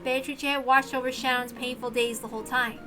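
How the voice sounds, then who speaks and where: Bright, high-voiced woman narrator. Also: steady tone, brisk, soft background music. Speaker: narrator and reader of a visual novel. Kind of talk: dramatic scripted narration.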